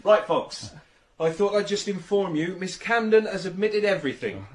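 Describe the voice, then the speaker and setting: Brisk, higher-voiced policeman. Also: expressive, a little hiss or hum. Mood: brisk, friendly. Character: brisk, informal male police officer bringing news. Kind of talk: radio drama acting.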